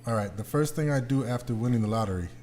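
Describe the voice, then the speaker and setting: Male podcast host, mid-pitched, casual and joking, slangy and profane, in light room noise. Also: animated, quick pace, a little mellow. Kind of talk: casual, joking group chat. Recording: noisy room ambience.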